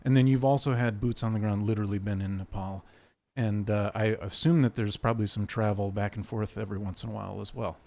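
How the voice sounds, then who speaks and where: A young man hosting a podcast from his webcam setup at home. He talks in a chatty, joking way, rambling with fillers, and his voice sits a little low. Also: steady tone, quick pace, vocal fry, darkish tone. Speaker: podcast host. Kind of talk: casual interview chat.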